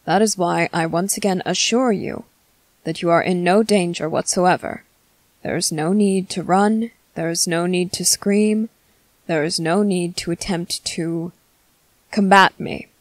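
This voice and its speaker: A woman voicing a composed, menacing male vampire commander in an ASMR roleplay, speaking formally and deliberately with dramatic pauses. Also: lowish voice, steady tone, no echo, natural voice. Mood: calm, ominous. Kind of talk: ASMR roleplay monologue to the listener.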